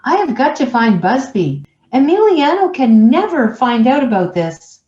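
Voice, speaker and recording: A woman's voice: high-pitched, big pitch swings, a little slow, dark tone. Radio drama wife, female actor: lonely, anxious, theatrically proper, recorded dull in an echoey room. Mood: panicked. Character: anxious, lonely middle-aged wife with a secret.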